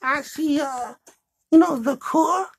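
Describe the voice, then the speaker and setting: A man's voice: extremely high pitch, slow pace, gravelly, pitched above the performer's own voice, full character voice. A man voicing a sassy, chatty young girl puppet in a childlike, fussy manner for a puppet show.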